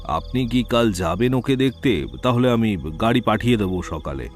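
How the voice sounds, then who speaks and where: An actor playing a courteous, puzzled senior officer, speaking somewhat hesitantly in an audio drama. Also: male voice, fairly low pitch, lively intonation, normal speed, soft background music, close to an everyday voice.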